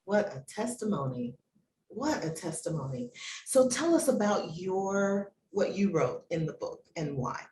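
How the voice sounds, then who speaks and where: A woman in her fifties hosts a conversational interview with very lively, swooping pitch. She affirms what she hears and often repeats phrases for emphasis. The recording has a roomy echo and some noise behind her. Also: measured pace, recorded from a distance. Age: 50s. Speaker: host interviewing book co-authors.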